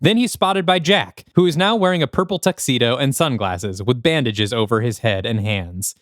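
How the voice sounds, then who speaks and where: A man reading scripted screenplay narration for an audio drama in an animated, descriptive manner. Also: medium pitch, moderate pace, dry recording, studio quality.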